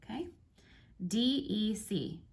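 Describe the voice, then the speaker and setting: Hoarse, stuffy woman teaching slowly. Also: medium pitch, lively intonation. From North Carolina, United States. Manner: slow, careful spelling out of abbreviations. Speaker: crochet teacher. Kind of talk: casual tutorial.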